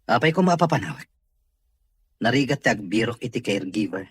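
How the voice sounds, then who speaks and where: An actor as a husky, irritable male boss. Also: medium pitch, big pitch swings, brisk, no room sound. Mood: businesslike. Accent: Ilocano. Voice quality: husky, rough. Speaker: radio drama actor. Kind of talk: Filipino radio drama dialogue.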